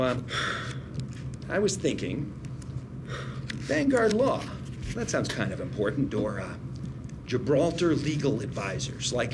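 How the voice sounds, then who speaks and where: An actor playing a proud, proper older lawyer brother, earnest, precise and placating, at a script table read. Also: male voice, mid-range voice, noisy background.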